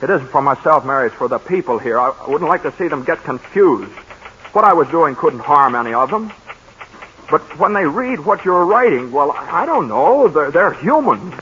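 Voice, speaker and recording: A cocky fast-talking pollster. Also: male voice, on the high side, sing-song, a bit bright, slight background noise. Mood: pleading. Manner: urgent, pleading. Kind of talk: 1940s radio acting. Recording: dull old 1940s radio broadcast.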